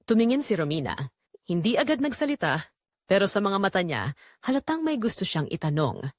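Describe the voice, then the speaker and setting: A woman's voice: medium pitch, big pitch swings, a little fast, no room sound, studio quality. A woman with a Filipino accent narrating a scripted dramatic story as a storyteller.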